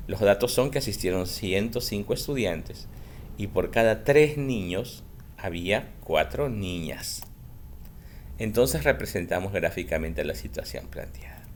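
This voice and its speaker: A male school teacher giving a recorded math lesson for children, explaining slowly and patiently and answering his own questions. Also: deep voice, slight background noise.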